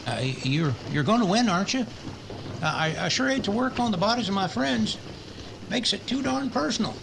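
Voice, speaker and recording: A man's voice: high voice, animated, moderate pace, creaky, noisy background. A man playing a chatty, ghoulish frontier doctor in a radio western, folksy, fussy and stammering. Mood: fussy, anxious. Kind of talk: old-time radio western acting.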